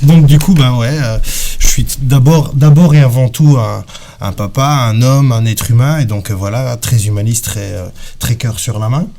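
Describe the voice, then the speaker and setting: A man raised in Belgium, talking as a guest on a web talk show. His voice is mellow and dark, at a middle pitch. He speaks casually, full of fillers and restarts. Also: normal speed. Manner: hesitant, many fillers and restarts, laughs often.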